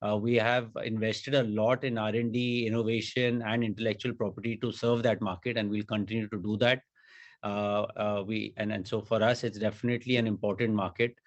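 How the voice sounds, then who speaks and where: Low-pitched male executive, halting delivery. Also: flat delivery, dull audio. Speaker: company executive. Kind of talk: answering analysts on an earnings call.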